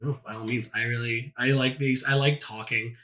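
A man in a casual podcast chat as a guest, telling stories in an animated, jokey, self-deprecating way. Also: mid-range voice, moderate pace.

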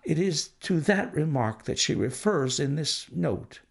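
A man's voice: fairly high pitch, lively intonation, a little slow, creaky, voice pushed up, heavily acted. A man putting on a frantic old banker. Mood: grieving. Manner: anxious, pleading, breathless exclamations. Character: distraught older male banker, frantic and pleading.

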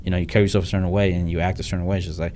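A young man with a very low voice, talking quickly and bluntly as a guest in a casual interview. His pitch stays flat and level, and he restarts sentences and tosses in "like" and "man" as he goes. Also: a little hiss or hum. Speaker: real estate investor guest. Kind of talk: casual podcast interview talk.

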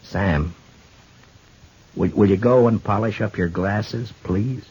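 An actor playing a plainspoken, earnest frontier marshal with an American accent and a halting manner in a 1950s radio western. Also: male voice, a little mellow, breathy edge, full character voice. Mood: earnest, insistent. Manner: halting, low-key, awkwardly sincere. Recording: dull 1950s radio recording, music.

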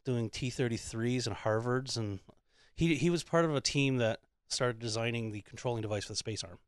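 Chatty middle-aged male guest, rapid delivery, dry recording. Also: medium pitch, clean tone, no echo. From Canada. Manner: talkative, storytelling, quick stammered restarts. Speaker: podcast guest, theater technician. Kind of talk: casual interview chat.

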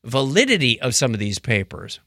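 A man's voice: mid-range voice, very animated, fairly quick, creaky, sharp tone, no room sound, studio quality. A man co-hosting a podcast in casual banter, with a wry, joking, incredulous way of talking. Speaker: podcast co-host.

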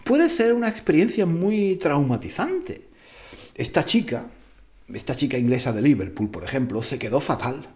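A man's voice: fairly high pitch, very animated, normal speed, darkish tone, lo-fi sound. A man hosting a podcast for language learners, telling a tall tale playfully and repeating phrases for emphasis. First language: Spanish. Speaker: podcast host. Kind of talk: storytelling to learners.

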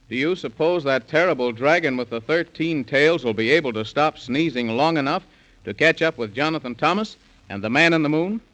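A man's voice: fairly high pitch, fairly bright tone. A man narrating a 1930s children's radio serial, coaxing young listeners with questions.

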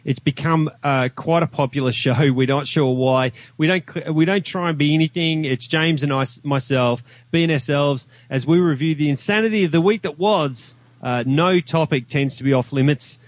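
A man's voice: a little high, normal speed, hardly any pauses, dry recording. Australian man chatting, close dry sound. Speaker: podcast guest and fellow podcaster. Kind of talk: casual podcast chat.

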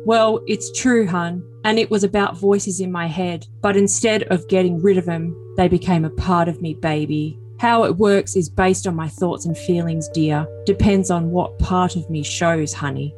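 Woman as a low-voiced, needy boyfriend. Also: steady tone, moderate pace, hardly any pauses, a little mellow, soft background music, lightly acted. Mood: self-important. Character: needy, brooding young man, self-important and possessive lover. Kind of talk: overly dramatic comedic reading of text messages.

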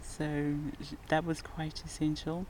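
A woman's voice: low voice, little pitch movement, takes it slowly, darkish tone, slight background noise. A woman with a Zimbabwean accent, a podcast guest talking in an earnest, reflective way and laughing now and then as she tells stories. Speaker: guest, nurse and rock climber. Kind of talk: podcast interview conversation.

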